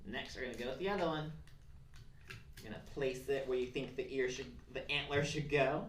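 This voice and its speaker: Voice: mid-range voice, a little fast. Roomy, distant-sounding children's craft presenter. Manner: encouraging, simple instructions for children. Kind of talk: step-by-step craft tutorial. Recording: roomy, distant mic, faint noise and clicks.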